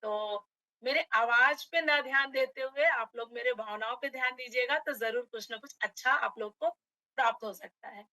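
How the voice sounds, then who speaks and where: A middle-aged woman from Uttar Pradesh with a slightly hoarse throat, giving a motivational online webinar on direct selling and addressing her audience as friends. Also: fairly high pitch, moderate pace, sharp tone, no echo, rough recording. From Uttar Pradesh, India.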